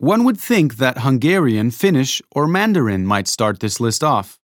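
A man reading a scripted educational narration, explaining clearly and adding rhetorical asides. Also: medium pitch, sing-song, moderate pace, dry recording, clean studio sound.

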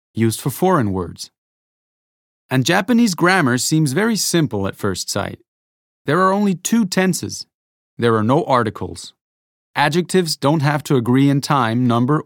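Man, scripted explainer narration, lively up-and-down intonation, dry close sound. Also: medium pitch, no room sound.

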